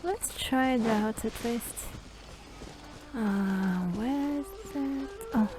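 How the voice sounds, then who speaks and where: Slow-talking, high-voiced woman gamer. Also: low-quality recording. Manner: tentative, musing aloud. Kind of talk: casual let's play commentary. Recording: game music loud behind the voice.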